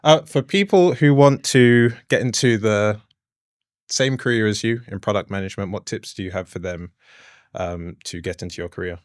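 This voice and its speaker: A man with a very deep voice hosts an interview show and asks questions in a relaxed, curious way. His voice sounds dry and close, with no room echo. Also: moderate pace, clean tone. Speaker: podcast host. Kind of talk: conversational interview.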